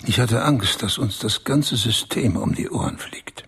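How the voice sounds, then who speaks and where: A man's voice: fairly low pitch, animated, measured pace, creaky, a bit bright, slightly rough, full character voice. A man in a radio drama playing a self-satisfied bank chief who speechifies. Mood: smug. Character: smug male bank chief, proud self-made man.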